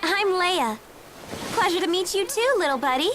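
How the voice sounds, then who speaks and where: A woman playing a perky, eager and headstrong teenage girl adventurer in a video game, bubbly and expressive. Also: extremely high pitch, normal speed, slight background noise. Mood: chirpy. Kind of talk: video game English dub acting.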